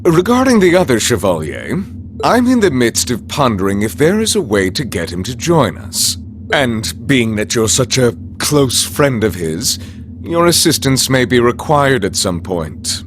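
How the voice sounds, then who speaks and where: A man's voice: medium pitch, big pitch swings, soft background music. An actor playing a haughty, contemptuous nobleman villain in a video game, speaking with grandiose pomp. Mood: sly, condescending. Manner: pompous, grandiose, slow oratory. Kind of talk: dramatic video game cutscene acting.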